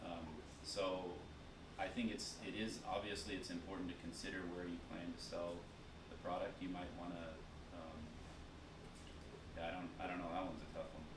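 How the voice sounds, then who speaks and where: A man giving a relaxed marketing talk at a dinner event, full of fillers and restarts. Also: sits low, normal speed, noisy background. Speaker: guest speaker on marketing. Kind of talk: casual presentation with Q&A. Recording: echoey room, distant mic, background chatter.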